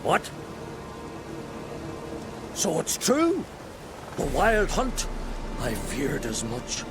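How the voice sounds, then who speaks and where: An actor playing a pompous, indignant older druid scholar in a video game, with a theatrical, scolding manner. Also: male voice, fairly high pitch, wildly swooping pitch, extremely slow, exaggerated voice. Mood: curious, grave. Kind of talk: video game cutscene acting. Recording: music and effects behind.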